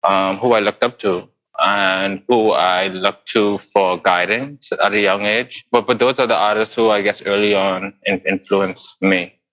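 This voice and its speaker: A man in his forties from the Bahamas, answering interview questions as a guest artist in a thoughtful, unhurried way. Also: fairly low pitch, fairly even intonation, fairly bright tone, muffled recording. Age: 40s. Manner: thoughtful, unhurried, with pauses and restarts.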